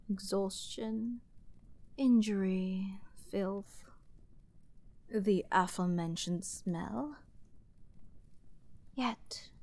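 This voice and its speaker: A woman's voice: mid-range voice, gravelly, a little mellow, no room sound. A woman playing an arrogant, flirty, dominant princess in a dramatic fantasy audio roleplay, with theatrical aristocratic phrasing. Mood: cool appraisal.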